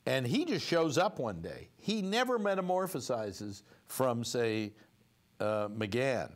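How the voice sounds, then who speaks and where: A man's voice: mid-range voice, very animated, slow pace. Older male talk host, wry, loose, rambling studio chat. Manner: rambling, wry, full of fillers. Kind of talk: casual talk show banter. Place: studio set.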